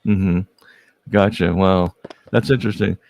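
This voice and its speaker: A man's voice: deep voice, fairly even intonation, measured pace, creaky, a little mellow. A man hosting a casual livestream interview, friendly and prone to stumbling restarts. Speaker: host.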